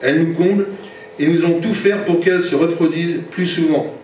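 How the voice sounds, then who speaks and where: French club president, formal read-out speech, muffled, distant recording. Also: male voice, fairly high pitch, fairly quick, heavy background noise. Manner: formal, reads from notes, stumbles and corrects himself. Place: reception hall. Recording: very echoey hall from far off, dull sound.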